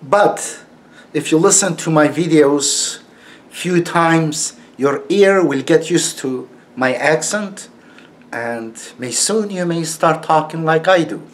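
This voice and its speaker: A man's voice: fairly high pitch, slow pace. A man with a heavy Turkish accent and broken English, giving a casual, scornful monologue to camera and laughing mockingly. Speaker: YouTube commentator.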